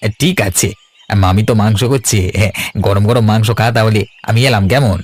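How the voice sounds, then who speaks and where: A man playing a blunt, stubborn grown son and village uncle in a cartoon, talking briskly and curtly. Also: fairly low pitch, darkish tone, natural voice. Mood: casual, friendly. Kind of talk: Bengali cartoon voice acting. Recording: music under the voice.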